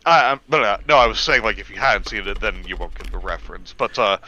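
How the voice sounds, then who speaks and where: A man chatting casually as a co-host with excitable, sweary fan talk. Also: lowish voice, quick pace. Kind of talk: casual group chat. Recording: slightly dull, lo-fi mic.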